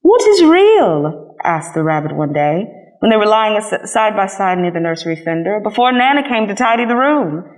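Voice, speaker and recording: A woman's voice: medium pitch, brisk, low-quality recording. A woman reading a children's story aloud as a scripted narration. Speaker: storybook reader.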